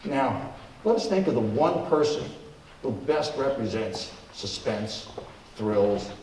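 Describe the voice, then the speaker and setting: A man's voice: medium pitch, lively intonation, takes it slowly, a little mellow. A man hosting a live show with a scripted, theatrical introduction. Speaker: live show host and performer. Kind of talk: scripted stage introduction and comic patter. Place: live in a studio before an audience. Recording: roomy, distant microphone, noisy stage recording.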